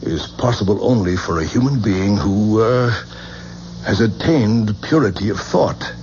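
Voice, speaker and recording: An actor playing a patient, formal scholar of the occult who lectures in a radio drama, from an old broadcast. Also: male voice, lowish voice, animated, a little slow, a little mellow. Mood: lecturing. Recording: dull old radio broadcast with faint hiss.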